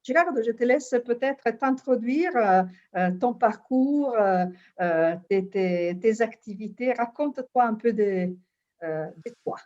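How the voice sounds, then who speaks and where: A woman's voice: fairly high pitch, a little slow, a little mellow. A woman hosting an interview, friendly and hesitant, with frequent fillers. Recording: dull lo-fi sound.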